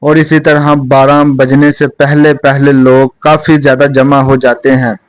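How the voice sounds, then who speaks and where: A man's voice: medium pitch, level tone, unhurried, dry recording. A man narrating a scripted children's story as a close, dry voice-over.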